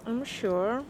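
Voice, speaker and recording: A woman, a visiting artist, talking slowly and playfully. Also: a little high, background noise. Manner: slow, playful, amused.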